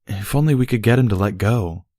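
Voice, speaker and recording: A man's voice: on the low side, fairly quick, darkish tone, breathy edge, no echo, clean studio sound, voice pushed up. A man voicing a female elder pony princess, anxious and troubled by conscience, with a fretful, formal manner in a storybook reading. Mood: conceding.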